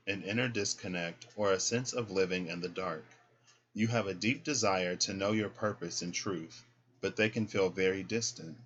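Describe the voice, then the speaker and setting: A man giving a casual spiritual tarot reading, explaining each card in a relaxed way and often tagging "right?" onto his points. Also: sits low. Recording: dull lo-fi sound.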